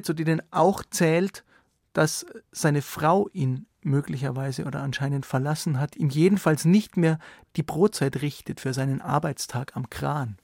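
Gravelly male radio interviewer, close and dry. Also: fairly high pitch, a little mellow, no room sound. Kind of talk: conversational radio interview moderation.

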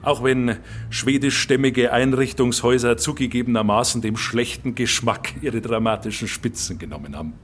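A man's voice: mid-range voice, normal speed, a little hiss or hum, natural voice. A man playing a pompous, fussy heritage official who talks in stilted, hesitant, bookish officialese in a drama. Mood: pedantic, regretful.

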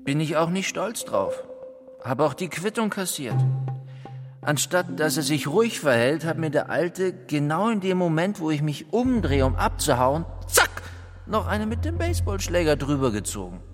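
An actor playing a guilty, hapless young drifter in a radio drama, colloquial and sheepish. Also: male voice, on the high side, big pitch swings, moderate pace. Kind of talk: German radio drama acting. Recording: reverberant hall with music behind.

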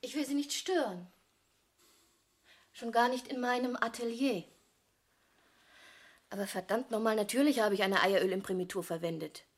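A woman's voice: fairly high pitch, moderate pace, pauses often, natural voice. An actress playing a self-possessed, hard-bargaining woman painter in a German radio drama, cool and assertive. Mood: indignant, proud. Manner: cool, assertive, matter-of-fact.

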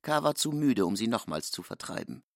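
A man with a gravelly, husky voice narrating a literary audio drama. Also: medium pitch, animated, brisk, no room sound, studio quality.

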